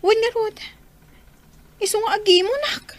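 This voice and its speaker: A woman playing a scheming, excitable young woman in a radio drama. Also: extremely high pitch, normal speed.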